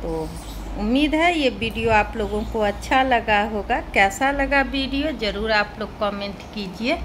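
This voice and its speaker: A woman's voice: on the high side, unhurried. A middle-aged woman, talking casually to viewers as a vlogger narrating an unboxing. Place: indoor room at home. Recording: clearly noisy home phone recording. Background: clear background noise.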